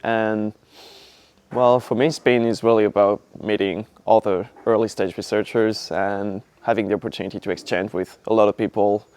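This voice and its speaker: A young man with a French accent introduces himself fluently, in an upbeat way. His voice sits a little low. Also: steady tone, moderate pace, a little mellow, clean tone. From southwestern France. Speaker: PhD student. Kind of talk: short self-introduction to camera.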